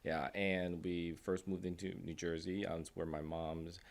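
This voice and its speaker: A man's voice: deep voice, little pitch movement, a little slow. A middle-aged man from Taiwan and the United States, talking casually and informally as a guest. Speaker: podcast guest, endodontist. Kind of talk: casual conversational storytelling.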